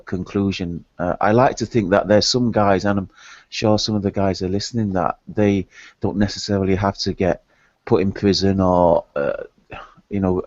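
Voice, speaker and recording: A man taking part as a guest in a casual online discussion, talking in a halting, stammering, musing way. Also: deep voice, darkish tone, no room sound. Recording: dry, dull lo-fi call audio.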